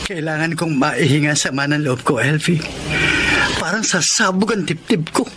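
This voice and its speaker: A man's voice: on the high side, normal speed, noisy background, natural voice. A man playing a heartbroken pleading lover. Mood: aggrieved. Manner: emotional, pleading, rises to desperate begging. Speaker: radio drama actor and letter narrator. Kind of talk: Tagalog radio drama acting.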